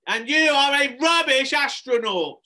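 Spoilt little boy acted by a man, pitched way up, shouting, whining, storybook tantrum. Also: expressive, measured pace, full character voice. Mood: raging. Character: spoilt, tantrum-throwing young boy at party.

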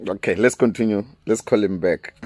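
A man in his thirties from South Africa with a Venda accent, whose first language is Tshivenda, hosting a casual podcast outdoors on a close studio mic, laughing often and trailing off quietly. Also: on the low side, vocal fry, breathy edge. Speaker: podcast host. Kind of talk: casual solo podcast chat with phone call. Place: outdoors in a sunny garden. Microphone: large studio mic close up.

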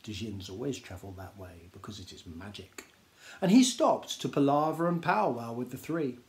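A man, reading a children's story aloud in an expressive, measured way. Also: mid-range voice. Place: small indoor room with bookshelves.

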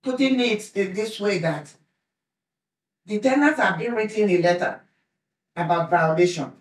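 A woman at a public meeting with a big voice, speaking haltingly as she searches for words. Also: mid-range voice. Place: community room at a board meeting, seated. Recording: echoey room, far from the mic.

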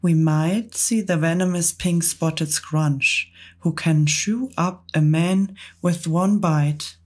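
A woman voicing an excitable, boastful male centipede who recites rhyming verse with relish. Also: lowish voice, slow pace, husky. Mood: gleeful.

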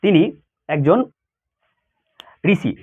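A man's voice: fairly high pitch, very slow, breathy edge. A man teaching students informally, explaining a story conversationally with frequent check questions, in a thin phone-like recording.

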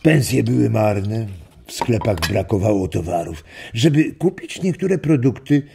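An actor playing a warm old grandfather who reminisces in an animated way in a children's radio play. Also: fairly low pitch, creaky, background noise. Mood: reminiscing. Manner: animated storytelling with chuckles and drawn-out words.

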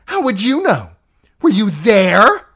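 An audiobook narrator voicing a jealous, unhinged middle-aged noblewoman who accuses in a shrill, spiteful voice that rises into screeching. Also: sing-song, slow. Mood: furious. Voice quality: shrill, piercing. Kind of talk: audiobook character voice.

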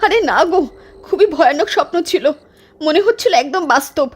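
A woman voicing a frightened village wife who talks in an anxious, shrill way. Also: expressive, brisk. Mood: shaken. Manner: shrill, anxious, cries out and pleads. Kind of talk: dramatized storytelling.

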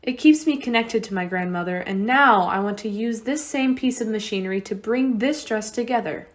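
Woman playing an earnest high-voiced young girl. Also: moderate pace, natural voice. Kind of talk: radio play dialogue.

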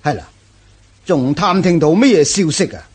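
An actor playing a proud, scornful Xiongnu chieftain in a Cantonese historical radio drama, declaiming grandly with a Hong Kong accent. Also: male voice, a little high, animated, measured pace, a little mellow, breathy edge. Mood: satisfied, contemptuous.

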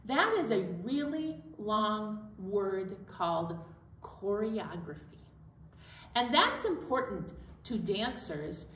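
A middle-aged woman hosting a storytime for young children, explaining things simply and speaking to them directly. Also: a little high. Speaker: children's library storytime host. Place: indoor library storytime room. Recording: roomy, distant mic, faint room noise.

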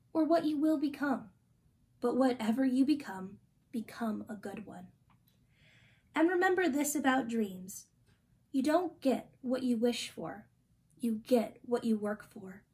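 A young woman reads a picture book aloud for children in a high voice. Her pace is very slow and deliberate, with gently expressive phrasing. She is recorded indoors in a small room. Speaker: storytime reader.

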